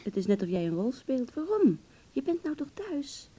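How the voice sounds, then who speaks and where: Radio play lead: wry, wounded beautician in love, female, mellow relaxed tone, intimate and hesitant delivery. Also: high-pitched, normal speed, slightly rough, heavily acted. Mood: uneasy, tender. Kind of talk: Dutch radio play acting, intimate dialogue.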